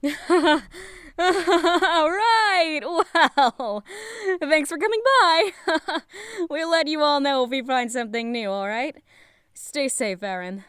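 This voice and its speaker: Woman playing a high-voiced, chatty, curious scientist. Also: takes it slowly, no room sound, clean studio sound. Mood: cheerfully dismissive. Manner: musing, rambling, excitable. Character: eccentric, chatty, curious female lab scientist. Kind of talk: scripted audio drama acting.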